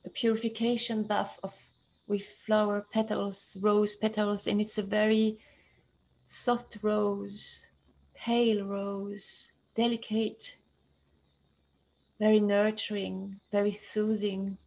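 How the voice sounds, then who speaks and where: Woman, meditation guide. Slow, soothing delivery with a flat, steady pitch. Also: creaky, poor audio. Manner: slow, soothing, hushed and even.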